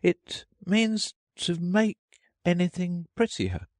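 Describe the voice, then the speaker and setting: A man reads as a well-mannered young girl in a children's story, pitched far above his natural voice. She is inquisitive, prim and a little touchy, with a lilting, lively melody. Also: normal speed, no echo, clean studio sound. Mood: hesitant. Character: polite, curious, easily indignant little girl. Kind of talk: storybook character reading.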